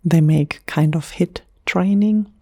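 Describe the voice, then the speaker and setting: A German woman, a native German speaker talking in English, whispering softly in a gentle ASMR chat. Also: lowish voice, slightly rough, no room sound. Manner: soft, slow, unhurried whisper with gentle pauses. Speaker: ASMR creator.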